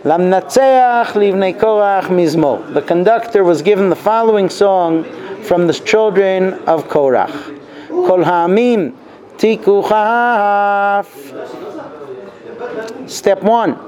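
High-pitched, mellow man teaching a class. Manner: deliberate, explanatory, repeats and translates Hebrew phrases. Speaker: religious teacher giving a class. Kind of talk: Torah class lecture with storytelling.

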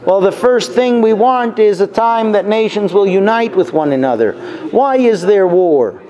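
A man giving a religious class as its teacher, explaining deliberately and telling stories as he goes. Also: sits high, animated, dark tone. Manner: deliberate, explanatory, repeats and translates Hebrew phrases. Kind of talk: Torah class lecture with storytelling.

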